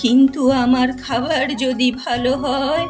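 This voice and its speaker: Woman acting a slow, high-voiced crafty old grandmother. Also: steady tone. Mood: calm, assured. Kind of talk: Bengali cartoon moral story dialogue. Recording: music bed under the voice.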